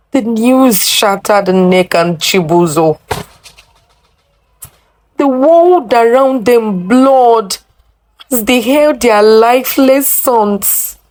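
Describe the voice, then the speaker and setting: A woman telling a folktale in a dramatic, deliberate, emotive way with drawn-out pauses. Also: fairly high pitch, rough recording. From Nigeria. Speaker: storyteller. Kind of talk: dramatic oral folktale narration.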